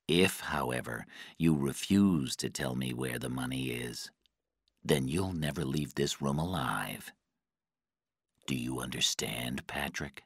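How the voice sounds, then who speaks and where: Man playing cold, menacing interrogator, very low. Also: no room sound, clean studio sound, full character voice. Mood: quiet menace. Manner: calm, threatening, measured. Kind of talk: audiobook character dialogue.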